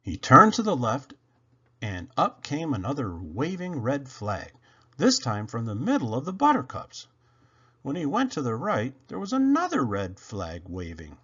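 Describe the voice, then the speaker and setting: Expressive, slow-paced male storybook reader. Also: medium pitch, breathy edge, lo-fi sound. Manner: expressive, dramatic emphasis with drawn-out words. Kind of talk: children's read-aloud narration.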